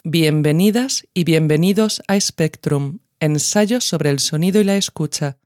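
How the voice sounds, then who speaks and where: A woman hosting a podcast, reading a scripted essay in a measured, reflective way. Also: sits low, darkish tone, dry recording.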